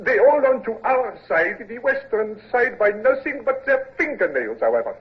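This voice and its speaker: A proud, boastful French major of engineers, taken prisoner and unable to stop bragging. His high voice bounces with animated swings in pitch. It comes through a thin, phone-like old radio recording. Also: moderate pace. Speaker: French officer. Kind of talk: 1950s radio drama acting.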